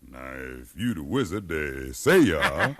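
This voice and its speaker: An American actor playing a bold, educated freed slave who leads a revolt, with impassioned oratory in 1950s radio drama style. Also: male voice, a bass voice, very animated, measured pace, husky, full character voice. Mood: impassioned, persuasive. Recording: remastered 1950s radio broadcast.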